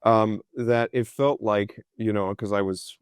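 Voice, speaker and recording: A man's voice: fairly low pitch, steady tone, a little slow, clean tone, no echo, clean studio sound. A man, a historian answering interview questions with many fillers and restarts. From United States. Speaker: historian guest.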